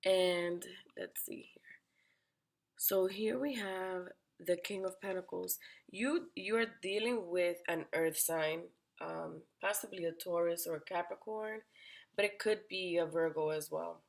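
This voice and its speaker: A woman giving a weekly tarot horoscope reading, direct and questioning, her voice raspy from being under the weather. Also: on the low side, a little slow. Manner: direct, questioning, addresses the listener by sign. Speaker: tarot reader.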